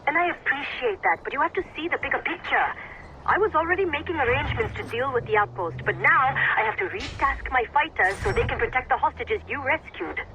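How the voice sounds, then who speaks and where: A woman's voice: high-pitched, expressive, brisk. An actress playing a stern, pragmatic female rebel leader in a video game, firm and reproachful. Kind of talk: video game cutscene acting. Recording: dull band-limited radio-like sound, faint noise.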